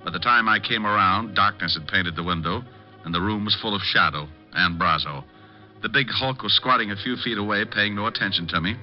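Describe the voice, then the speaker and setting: An actor playing a suave, wisecracking private detective with dry, flippant quips in a 1950s radio drama. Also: male voice, lowish voice, a little fast, faint music behind, lightly acted. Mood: hard-boiled narration. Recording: dull old radio recording.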